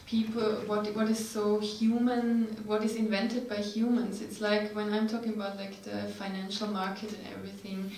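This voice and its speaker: Creaky woman, echoey hall. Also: mid-range voice, flat delivery, normal speed, few pauses, a little mellow. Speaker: author on a panel. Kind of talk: casual panel discussion. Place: stage at a live reading event indoors. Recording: echoey hall from far off, faint noise.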